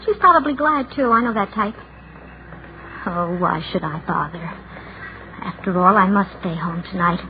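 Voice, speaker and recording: A woman's voice: medium pitch, moderate pace, pauses often, natural voice. An actress playing a shy, anxious, judgmental lonely woman of thirty in a brittle, fretful 1940s radio drama style. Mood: bitter, fretful. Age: 30s. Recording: noisy, narrow-band 1940s radio recording.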